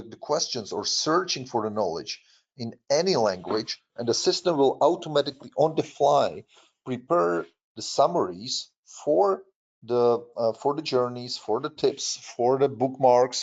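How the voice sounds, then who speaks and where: A man with a Czech accent, Czech his first language, presenting a software demo in a webinar with frequent fillers and restarts. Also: mid-range voice, dull audio. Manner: halting, many fillers and restarts. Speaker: product presenter.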